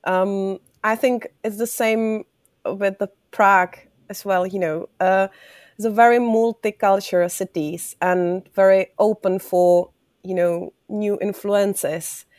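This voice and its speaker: A woman with a Czech accent speaks in an interview. Her English is earnest and halting, and her voice is mid-pitched. The sound is dry and close. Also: fairly even intonation, darkish tone, no room sound. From Czech Republic. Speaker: Czech school educator. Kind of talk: interview conversation.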